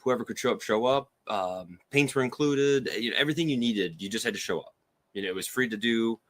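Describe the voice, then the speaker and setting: A man's voice: medium pitch, fairly quick, a bit bright, a little hiss or hum. A man on a podcast panel talking casually in short, informal phrases. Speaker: podcast panelist, toy sculptor. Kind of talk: casual chat.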